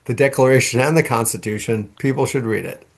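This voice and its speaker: A man's voice: medium pitch, fast talker, vocal fry. Curious male podcast host. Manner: curious, conversational questioning. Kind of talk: interview questions and chat.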